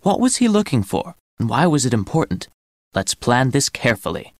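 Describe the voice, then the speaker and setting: A man's voice: medium pitch, sing-song, moderate pace, no echo, clean studio sound, lightly acted. A man playing an inquisitive, serious teenage boy in audiobook dialogue, questioning and intent. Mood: serious, curious.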